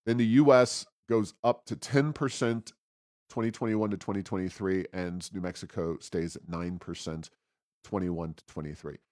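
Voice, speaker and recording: A man's voice: low-pitched, fairly even intonation, moderate pace, a little mellow, no echo. Dry-sounding studio man, wry political commentary. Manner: wry, sarcastic asides. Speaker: co-host of a policy podcast.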